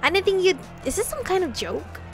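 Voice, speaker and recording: A woman's voice: very high voice, big pitch swings, a little fast, faint music behind, voice pushed up, full character voice. A woman voicing a hot-tempered male game vampire who sounds indignant and blunt and swears. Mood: alarmed, indignant.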